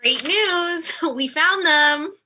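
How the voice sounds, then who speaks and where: A woman's voice: very high-pitched, extremely slow, bright, forceful tone, heavily acted. A woman playing an eager-to-please, upbeat co-op worker in a comedy table read, obliging in manner. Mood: chirpy.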